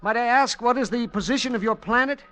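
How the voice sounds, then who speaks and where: An actor playing a middle-aged, henpecked schoolteacher and amateur scientist, nervous and eager, in an old radio drama. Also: male voice, extremely high pitch, fast talker, a bit bright. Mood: eager, deferential. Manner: flustered, stammering, deferential. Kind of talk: 1940s-50s radio drama acting. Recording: noisy, crackly vintage radio recording.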